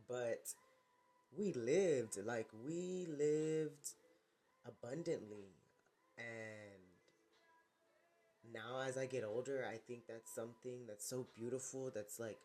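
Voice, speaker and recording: A man's voice: medium pitch, darkish tone. A man thinking aloud in a reflective personal monologue, rambling and often restarting as he searches for words. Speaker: vlogger.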